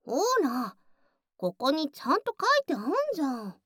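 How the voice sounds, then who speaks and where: A woman's voice: high-pitched, big pitch swings, moderate pace, husky, no echo, clean studio sound, full character voice. A woman voicing a bossy, teasing tsundere childhood friend working as a cafe waitress in an intimate ASMR voice drama, often slipping into whispers. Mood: scolding. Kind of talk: Japanese ASMR situation voice drama.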